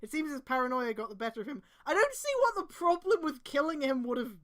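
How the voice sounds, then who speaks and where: A woman's voice: high voice, lively intonation, quick pace. A woman reading fan fiction aloud on a stream, breaking in with exasperated, casual, sweary commentary.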